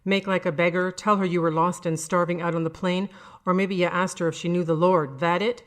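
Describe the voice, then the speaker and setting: A smug prosecutor, voiced by a woman, cross-examines in an accusing, mocking manner. The voice is slightly low, in storybook narration. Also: fairly even intonation, fairly quick, lightly acted.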